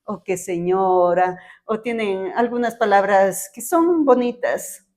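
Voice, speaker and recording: Una mujer ecuatoriana con acento andino conduce el podcast, haciendo preguntas de forma amable y conversacional. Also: female voice, mid-range voice, slow, darkish tone. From Ecuador. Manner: friendly, asks questions, affirming. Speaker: podcast host. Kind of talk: casual interview chat.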